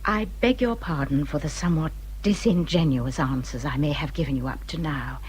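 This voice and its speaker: An actress playing a shrewd, teasing English gentlewoman in a period radio drama, speaking in a knowing, confiding way with an English accent. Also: low voice, normal speed, husky. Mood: guarded, confiding.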